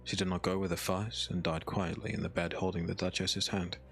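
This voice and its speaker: A man narrating a dark fantasy audiobook as a scripted story, in a solemn, measured manner. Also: low-pitched, flat delivery, faint music behind.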